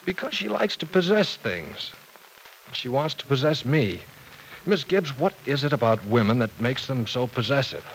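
An actor in a vintage radio drama playing an earnest, awkward professor, polite and formal but hesitant. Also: male voice, mid-range voice, very animated, normal speed. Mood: troubled, exasperated. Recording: noisy old radio show recording.

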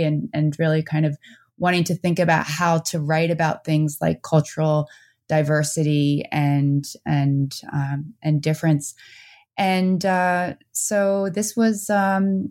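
Brief: woman, 40s, low-ish voice, thoughtful halting guest answering from home. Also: darkish tone. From Netherlands and New Jersey. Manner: hesitant, many restarts and fillers. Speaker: author and anthropology professor, guest. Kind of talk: casual interview answers. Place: home, speaking remotely.

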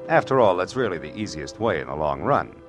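A man's voice: low-pitched, big pitch swings, brisk. An American man playing a tough, wry, world-weary private detective in 1940s radio noir, with clipped delivery. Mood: reflective, wry. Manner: hard-boiled, wry, clipped. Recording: vintage 1940s radio broadcast with music.

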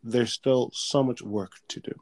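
A man's voice: medium pitch, steady tone, a little slow, darkish tone, no room sound. A man in casual chat, speaking earnestly and emphatically as he urges listeners on.

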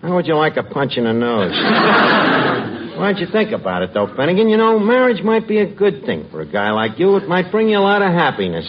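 A slangy New York schemer, a working-class tavern wiseguy in an old radio comedy. The voice sits fairly high and moves in lively swings, always sardonic. The recording is muffled, with clear noise over it. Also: male voice, brisk. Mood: scheming, coaxing. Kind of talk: 1940s-50s radio comedy acting. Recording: noisy, muffled vintage radio broadcast.

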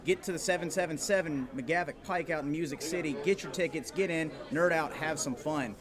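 A man's voice: on the high side, brisk. A man hosting a casual live interview, chatty and enthusiastic. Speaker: podcast host and interviewer. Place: convention booth. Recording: busy convention crowd behind voice. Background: crowd chatter.

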